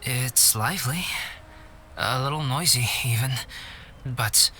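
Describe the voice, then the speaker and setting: A man voicing a calm, cultured young artist in a video game, speaking in a measured, formal, politely aloof way. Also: mid-range voice, a little hiss or hum. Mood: gentle, reflective. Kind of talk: scripted game voice acting.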